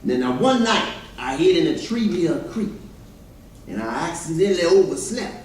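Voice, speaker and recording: Distant, high-voiced actor as a formerly enslaved storyteller. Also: male voice, very animated, normal speed. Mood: animated recollection. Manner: folksy dialect storytelling with repeated "now". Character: formerly enslaved man recounting his escapes, plainspoken storyteller. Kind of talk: readers theater monologue performed on stage. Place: church hall, recorded from the audience area. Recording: distant mic in echoey hall, background noise.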